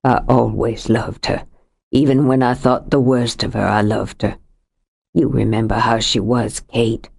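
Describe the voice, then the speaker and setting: Woman reading as a stubborn widower full of grief, lowered voice, slow heavy delivery. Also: steady tone, creaky, darkish tone, slightly rough, full character voice. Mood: grieving. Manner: low, heavy and bitter. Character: grieving stubborn middle-aged widower, rough-edged. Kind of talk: audiobook character reading.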